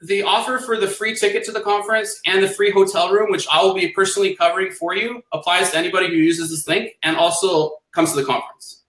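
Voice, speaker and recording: A man's voice: high voice, steady tone, quick pace, a bit bright. A man who is a guest on a casual live video chat, promoting offers energetically and repeating them. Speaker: conference speaker, interview guest. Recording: roomy echo.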